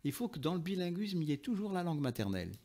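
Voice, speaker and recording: A man's voice: a little high, sing-song, racing, warm tone. A man speaking as an expert interview guest, explaining and arguing his points emphatically. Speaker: interview guest, linguist and author. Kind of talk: explanatory interview talk, argumentative.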